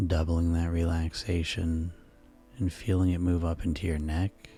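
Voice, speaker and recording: A man guiding a sleep hypnosis session in a slow, soothing, repetitive way. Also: low-pitched, warm tone. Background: music.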